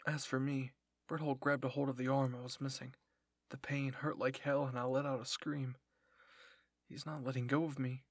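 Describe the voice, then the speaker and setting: A man reads fan fiction aloud in an intense, emotive style. His voice is husky and breathy, recorded dry and close with no room sound. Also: medium pitch, level tone, moderate pace, no room sound. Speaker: narrator of fan fiction roleplay. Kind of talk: dramatic first-person story reading.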